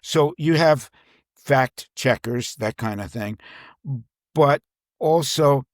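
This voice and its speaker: Halting man chatting, dry close sound. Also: medium pitch, dry recording. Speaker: crime thriller novelist, interview guest. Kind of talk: casual conversational interview.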